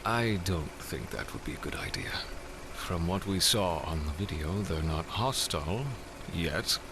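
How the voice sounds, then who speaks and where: An actor playing a cautious, analytical scientist ghost hunter in an audio drama, speaking in a deadpan, precise way. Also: male voice, low-pitched, a little slow, noisy background. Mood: cautious, measured. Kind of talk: full-cast audio drama acting.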